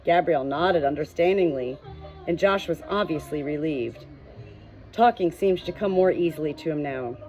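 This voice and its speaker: A woman narrates a story from a script in a fairly low voice. The recording is slightly dull, and music plays behind her. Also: moderate pace, darkish tone. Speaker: audiobook reader.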